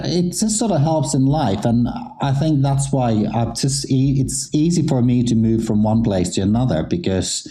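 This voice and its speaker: Deep, mellow middle-aged man. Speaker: interviewed athlete, former figure skater. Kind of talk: casual interview storytelling.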